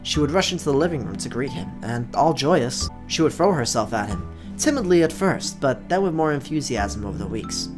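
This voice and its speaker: A let's play host with an expressive storytelling delivery, speaking to viewers. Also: mid-range voice, a little fast. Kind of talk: expressive story narration, read aloud. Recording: music playing behind the voice. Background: background music.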